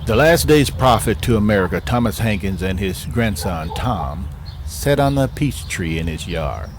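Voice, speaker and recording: Low-voiced male drama narrator. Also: animated, moderate pace. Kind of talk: scripted audio drama narration. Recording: clearly noisy homemade recording.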